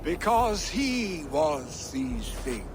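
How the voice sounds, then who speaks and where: An actor voicing an earnest, tender young Norse warrior, a jarl's grieving son, in a solemn, measured video game performance. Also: male voice, fairly high pitch, sing-song, noisy background, heavily acted. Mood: proud, mourning. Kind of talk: dramatic video game acting. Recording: music behind the voice.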